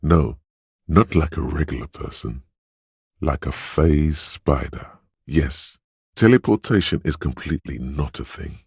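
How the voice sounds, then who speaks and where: An actor voicing a suave, theatrical inner voice in a video game, narrating in a measured, wry way with a gravelly, husky tone. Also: male voice, extremely low pitch, expressive, darkish tone, no room sound, studio quality, full character voice. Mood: dry, advising. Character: suave, theatrical inner voice of a detective's flair and agility. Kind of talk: scripted second-person game narration.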